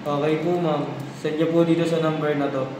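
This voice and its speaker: A man's voice: a little high, level tone, fairly quick, lightly acted. A young man playing a polite, sly online scammer posing as shop staff in an amateur student film. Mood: polite, businesslike. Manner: deferential, courteous phrasing. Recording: very echoey hall, distant noisy mic.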